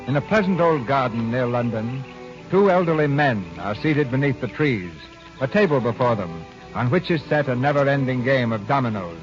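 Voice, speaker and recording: A man hosting a classic radio drama, announcing and narrating from a script in a polished, measured way. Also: medium pitch, lively intonation. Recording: dull old radio broadcast. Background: music.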